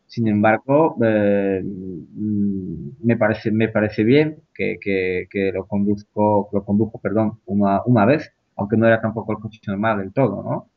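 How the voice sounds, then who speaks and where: A man's voice: fairly low pitch, fairly even intonation, unhurried. A man taking part as a guest in a casual discussion, hesitant, with many fillers and restarts. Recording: muffled lo-fi audio.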